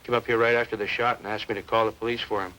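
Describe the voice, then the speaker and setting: A bright, forceful, quick-talking neighbor in a 1950s crime drama, on the low side in pitch. He is a gossipy, opinionated witness, earnest and emphatic, over a faintly noisy old soundtrack. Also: level tone. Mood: eager, opinionated. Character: talkative, opinionated male neighbor, eager witness.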